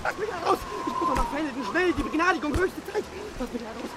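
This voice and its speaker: A man's voice: very high-pitched, lively intonation, fast, very noisy. An actor in a radio play playing an unstable, imperious mad king who stammers and pleads desperately. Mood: desperate, pleading.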